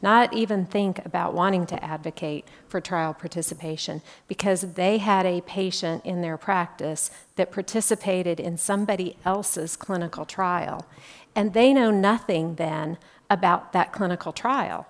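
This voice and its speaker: Woman, 50s, clinician panelist; deliberate, slightly low voice, headset mic. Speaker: clinician and former industry panelist. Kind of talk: panel discussion. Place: indoor conference stage.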